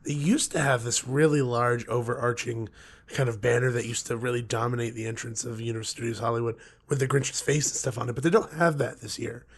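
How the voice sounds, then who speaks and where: A man co-hosting a podcast with a hoarse, gruff voice, chatting casually and joking around. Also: medium pitch, fairly even intonation, brisk. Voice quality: gruff, rough. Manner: joking, rambling, laughs often. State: hoarse, losing his voice. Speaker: podcast co-host. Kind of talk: casual chat.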